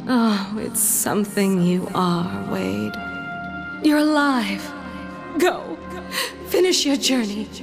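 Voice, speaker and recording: A woman's voice: mid-range voice, slow, gravelly, pauses often, music in the background. An actress playing a seductive, solemn female Death in a video game, dramatic, tender and ominous. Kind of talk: video game character acting.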